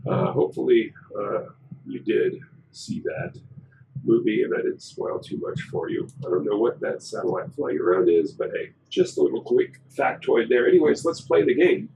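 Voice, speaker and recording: A hoarse man with a cold walks through a game in casual, rambling commentary, full of fillers. His voice is raspy and gravelly and sits on the low side. A faint hiss runs under the recording. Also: lively intonation, moderate pace, warm tone, low-quality recording. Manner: rambling, filler-heavy explaining. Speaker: gaming channel host.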